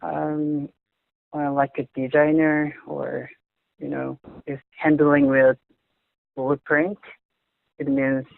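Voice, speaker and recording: Young man, Korean accent, non-native English, slow and hesitant, dry tinny line. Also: a little high, flat delivery, creaky, no echo. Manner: halting, searching for words, frequent restarts. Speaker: interviewee. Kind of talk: casual conversation in English. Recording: tinny phone-like audio.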